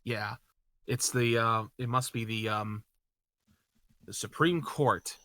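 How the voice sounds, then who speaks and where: A man's voice: mid-range voice, slow, pushed and bright, no echo, clean studio sound. A man co-hosting a game video, chatting casually and reading out the script. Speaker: co-host providing voices.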